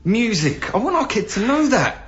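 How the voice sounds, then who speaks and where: A man's voice: very high-pitched, very animated, moderate pace. Earnest young expectant father, muffled recording. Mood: agitated, pleading. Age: young adult. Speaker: actor in a radio play. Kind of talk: naturalistic British radio drama acting.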